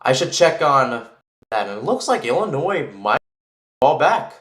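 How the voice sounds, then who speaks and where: A man's voice: mid-range voice, big pitch swings, unhurried, fairly bright tone, reverberant. A man giving live play-by-play football commentary in an informal way, thinking aloud and correcting himself as he goes.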